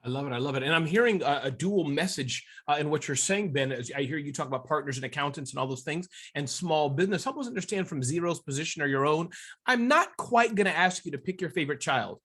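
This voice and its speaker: A man's voice: a little high, lively intonation, quick pace, a bit bright, slightly rough. A man hosting a podcast interview in an enthusiastic, jokey and wordy way, with upbeat intros and chatty questions.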